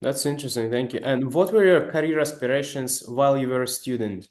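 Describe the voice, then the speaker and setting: A man works as an interviewer, asking short and polite questions. He thanks the guest briefly before each new question. His voice sits at an ordinary middle pitch. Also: a little fast, darkish tone.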